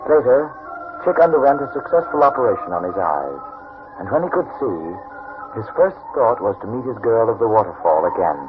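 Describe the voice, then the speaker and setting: A man acting as the solemn narrator of a 1940s radio drama, measured and dramatic. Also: medium pitch, very animated, background noise, close to an everyday voice. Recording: muffled 1940s radio recording with music.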